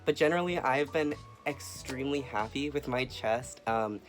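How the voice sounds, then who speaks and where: A young man in a casual video update, talking earnestly and softly with many ums and uhs. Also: medium pitch, moderate pace, faint music behind. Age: young adult. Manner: soft-spoken, earnest, with frequent fillers. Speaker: vlogger.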